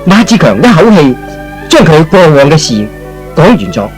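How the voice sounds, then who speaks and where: A man narrating a scripted radio serial in a dramatic, expressive old storytelling style. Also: fairly high pitch, moderate pace, soft background music.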